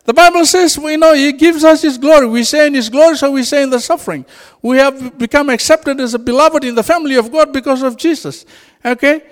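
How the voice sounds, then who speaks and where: A man with a Fijian accent, a native Fijian speaker, preaching an informal sermon in an animated way and laughing often. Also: very high-pitched, brisk, few pauses. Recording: reverberant hall.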